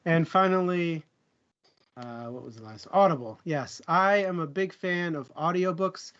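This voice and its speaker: A man's voice: a little high, measured pace, fairly bright tone, clean tone, lo-fi sound. A man co-hosting a book discussion livestream, chatting casually in a jokey way. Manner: jokey, fond of puns. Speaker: co-host of a book discussion show. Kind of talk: casual livestream chat and plugs.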